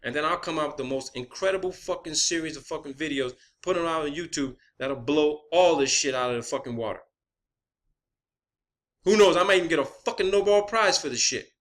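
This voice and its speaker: A man's voice: on the high side, fairly quick, fairly bright tone. A man speaking as an online video creator in an impassioned, profane rant, combative and emphatic, repeating phrases to drive points home.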